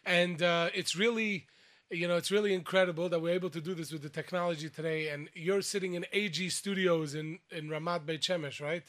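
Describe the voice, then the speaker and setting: A man hosting a radio show from a studio, talking excitedly with lots of fillers and restarts. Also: fairly high pitch, brisk. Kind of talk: lively radio chat.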